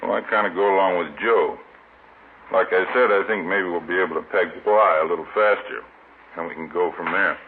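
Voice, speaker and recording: Deep, plain-spoken police captain. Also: male voice, fairly quick. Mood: matter-of-fact. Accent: American. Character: laconic, matter-of-fact male police captain. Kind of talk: 1950s radio crime drama acting. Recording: muffled, noisy old radio recording.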